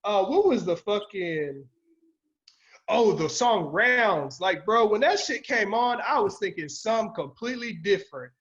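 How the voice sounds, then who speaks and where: A man's voice: high voice, expressive, a little slow, fairly bright tone, dull audio. A man giving casual music reviews as a podcast panelist, blunt, exclamatory and full of slang and swearing. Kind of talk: casual album review banter.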